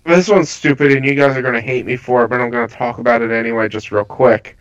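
A man with a stuffy, under-the-weather voice, chatting casually about games with halting restarts. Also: medium pitch, fairly even intonation. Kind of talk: casual gaming chat. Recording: slightly dull lo-fi audio.

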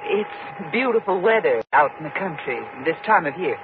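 A woman's voice: fairly low pitch, animated, normal speed, noisy background, lightly acted. An actress playing a nervous, fearful woman in a 1950s radio thriller, with a faltering, agitated delivery. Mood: polite, uneasy. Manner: faltering, agitated, breathy gasps. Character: nervous, fearful woman trapped with a menacing man. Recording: muffled, tinny 1950s radio recording.

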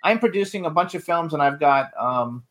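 A man with a somewhat high voice hosts a talk show. He talks in a casual, conversational way, like a film producer chatting with guests. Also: expressive, fairly quick, a bit bright.

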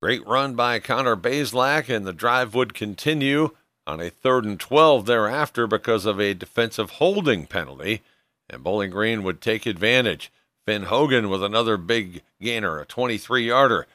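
A man hosts a sports recap show in scripted narration. His voice sits a little low and rings bright and forceful, recorded close and dry as he walks through the game. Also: animated, moderate pace, dry recording.

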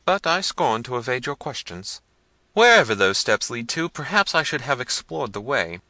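A man voices a frank young peasant from a gothic storybook, defiant yet courteous. He declaims earnestly in a raised, bright voice. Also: mid-range voice, very animated, moderate pace, no echo, pitched above the performer's own voice. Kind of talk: dramatised gothic novel reading.

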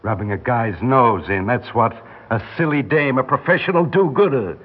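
An actor playing a hostile, slangy condemned prisoner with a gruff American way of talking in a 1960s radio drama. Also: male voice, mid-range voice, normal speed, lo-fi sound, lightly acted. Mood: bitter, angry.

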